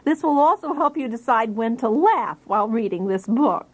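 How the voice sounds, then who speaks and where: A woman playing a brisk, chirpy, eccentric schoolteacher in a children's audiobook, with deliberate, commanding enunciation. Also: a little high, animated. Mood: playfully formal. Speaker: teacher character in children's read-along.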